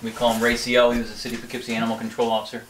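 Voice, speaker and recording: A man's voice: medium pitch, monotone, rapid-fire. A man, a firefighter being interviewed, chatting casually and reminiscing in a workshop. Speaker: interviewee, firefighter and hobby sign maker. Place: indoor workshop. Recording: echoey room, distant mic, background noise.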